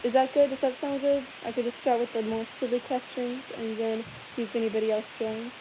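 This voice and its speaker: A young woman with a slightly high voice chats loosely on a livestream, giggling and rambling between viewer questions. A steady hiss sits under her voice throughout the recording. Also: fairly quick. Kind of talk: casual live Q&A chat. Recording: hissy background noise.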